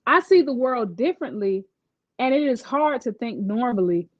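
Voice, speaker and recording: A woman's voice: a little high, expressive, normal speed, dry recording, highs cut off. A woman voicing a sweet, anxious schoolgirl in a storybook, with a nervous, earnest way of talking. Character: anxious, sweet schoolgirl who is easily upset.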